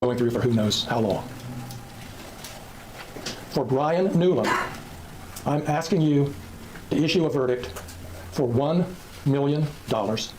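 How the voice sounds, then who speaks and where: A man, a lawyer, giving a formal and measured closing argument to a jury in court. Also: mid-range voice, background noise. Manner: measured and formal, listing demands.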